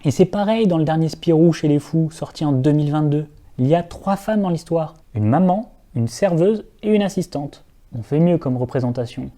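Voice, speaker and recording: A man's voice: a little high, expressive, normal speed, mellow. Earnest young man narrating a video essay. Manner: earnest, lightly ironic asides to viewers.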